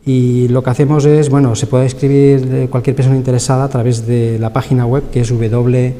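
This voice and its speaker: A man's voice: mid-range voice, little pitch movement, fairly quick, warm tone. A man with an accent from Spain giving wordy, explanatory interview answers as an event coordinator. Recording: reverberant hall, clear background noise.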